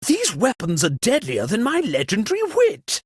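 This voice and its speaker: Posh gentry host for a comedy game, super melodramatic, pitch all over the place, kinda husky. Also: male voice, huge pitch swings, moderate pace, dry recording, studio quality, heavily acted. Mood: pompous, droll. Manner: pompous, melodramatic, exclamatory. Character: pompous, dramatic landed-gentry party host, theatrically aghast. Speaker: game character hosting a murder mystery party. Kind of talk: theatrical comedic video game voice lines.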